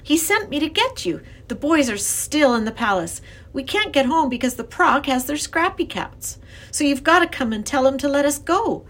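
A woman voicing an earnest, excitable young girl who pleads and insists, in an acted children's storybook reading. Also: high-pitched, normal speed, voice pushed up. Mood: urgent.

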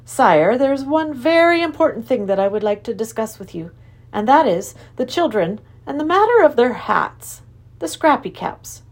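Woman doing a kindly professor, gentle and measured, breathy, lively pitch, storybook. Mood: earnest. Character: kindly, calm older male professor. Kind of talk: storybook reading.

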